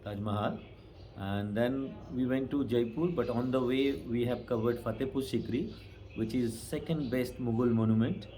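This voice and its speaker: A man's voice: medium pitch, moderate pace, warm tone. An Indian man, a tour driver, plainly recounting a trip route in an interview. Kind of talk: casual interview.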